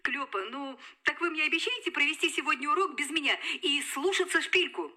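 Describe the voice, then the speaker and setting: An actress playing a kindly female schoolteacher in a children's show, polite and gently instructive. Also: sits high, brisk. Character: kindly adult female schoolteacher calling from abroad.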